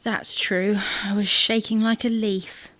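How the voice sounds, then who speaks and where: A woman playing an anxious, fussing wife who is quick to contradict in a domestic audio drama. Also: mid-range voice, fairly even intonation, fairly bright tone, dry recording, lightly acted. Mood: defensive, unsettled. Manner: fretful, insistent. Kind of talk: domestic comedy-drama dialogue.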